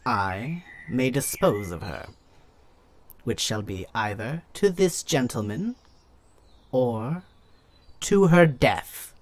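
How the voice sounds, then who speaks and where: A man's voice: medium pitch, a little hiss or hum. An actor playing an angry, domineering older Athenian father, declaiming Shakespearean verse with sudden bursts of emphasis. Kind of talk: Shakespearean verse audio drama acting.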